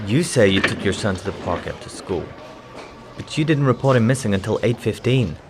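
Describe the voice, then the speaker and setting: An actor playing a businesslike police investigator who presses with questions, in a dramatic video game. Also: male voice, fairly low pitch, animated, fairly quick, soft background music. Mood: pressing. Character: brisk, probing male police investigator.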